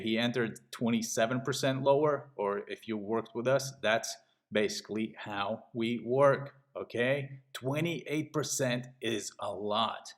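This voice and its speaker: A middle-aged man from Latvia giving a casual sales pitch while walking through trading charts. He talks in a pushy way and keeps checking in with "okay?". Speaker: trading channel host.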